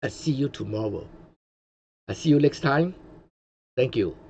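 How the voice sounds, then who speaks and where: Male host, Hong Kong accent, non-native English, rambling casual delivery, mellow tone, faint noise on a dull recording. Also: medium pitch, lively intonation, a little slow. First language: Cantonese. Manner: rambling, many fillers and restarts, chuckles often. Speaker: channel host. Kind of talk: casual commentary livestream.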